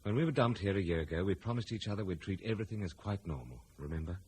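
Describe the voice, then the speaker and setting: Young aristocratic husband, deep, tender and stoic, measured vintage radio drama acting. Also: male voice, animated. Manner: gentle, measured, well-spoken. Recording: noisy old radio serial recording.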